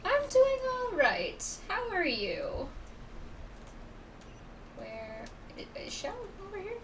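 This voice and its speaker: High, lively woman streaming a game. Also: slow, recorded from a distance, background noise. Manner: reactive, talking to herself while playing. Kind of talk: casual playthrough chat. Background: video game music.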